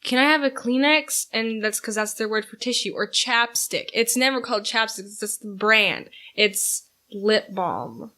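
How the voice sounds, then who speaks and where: A woman's voice: on the high side, unhurried, few pauses, pushed and bright. A young woman co-hosting a casual podcast with her mother, giggly and chatty, with lots of fillers. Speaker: podcast co-host, daughter. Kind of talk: casual chat.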